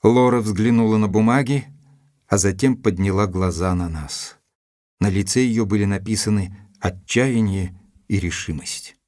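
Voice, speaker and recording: An actor playing a doctor who narrates a detective story in an audio drama, in composed literary narration. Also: male voice, lowish voice, unhurried, darkish tone, close to an everyday voice. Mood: composed, descriptive. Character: Doctor Watson, male narrator recounting the investigation.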